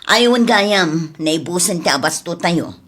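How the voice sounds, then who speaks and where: A Filipino-accented woman playing a brisk, scolding lady of the house in a comedy drama. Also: fairly low pitch, lively intonation, fairly bright tone, heavily acted. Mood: brisk, bossy. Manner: commanding, scolding. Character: bossy lady of the house, brisk female employer. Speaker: actor.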